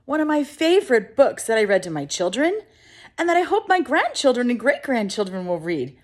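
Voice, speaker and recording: A middle-aged woman reading a children's picture book aloud as storyteller, expressive and deliberate. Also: a little high. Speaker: storyteller and channel host.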